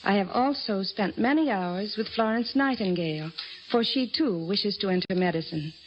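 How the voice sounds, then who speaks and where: A woman's voice: medium pitch, normal speed, close to an everyday voice. An actress playing an earnest, resolute young woman doctor of the nineteenth century in an old-time radio drama. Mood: hopeful, resolute. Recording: dull, noisy old radio recording.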